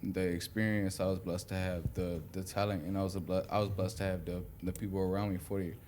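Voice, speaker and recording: Man, very low voice, thoughtful, repeats himself, light background noise. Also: little pitch movement, fast, a little mellow. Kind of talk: casual chat.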